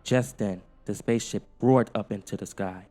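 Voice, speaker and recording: A man's voice: on the low side, moderate pace, dark tone, in a large hall. A man reading a scripted children's story as its storyteller.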